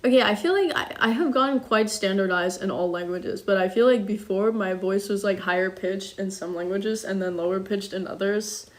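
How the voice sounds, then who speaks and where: A young woman streaming live while she cooks, chatting casually and reading out viewer comments. She speaks international-school English with slight German touches and fills her talk with ums and quick apologies. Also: medium pitch. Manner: chatty, self-deprecating, frequent ums and apologies.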